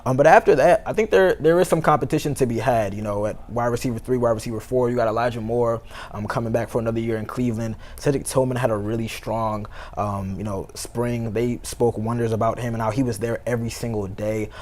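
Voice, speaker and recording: A man's voice: mid-range voice, steady tone, brisk, a little mellow. An American man, a sports reporter, giving casual analysis as a talk show guest, peppering his speech with "you know" and "like". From Baltimore, United States. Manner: conversational, heavy use of "you know" and "like".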